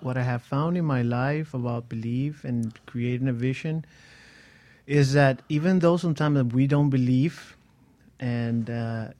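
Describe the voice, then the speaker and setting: A man's voice: mid-range voice, steady tone, measured pace, mellow. A man co-hosting a motivational talk show, speaking hesitantly with frequent restarts and unusual phrasing. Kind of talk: casual talk show discussion.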